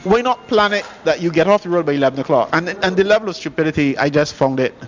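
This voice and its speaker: A man, a radio cricket commentator telling a story in casual on-air chat, halting and repeating himself. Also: a little high, expressive, soft background music. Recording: dull broadcast audio with faint hiss.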